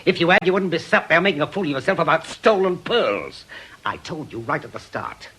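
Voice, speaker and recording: Radio drama: obsessive, scornful British former policeman, brusque and insistent, rough-edged voice, very animated delivery. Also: a little high, fairly quick, heavily acted. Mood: combative, scornful. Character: obsessive, cantankerous veteran ex-policeman and bereaved husband.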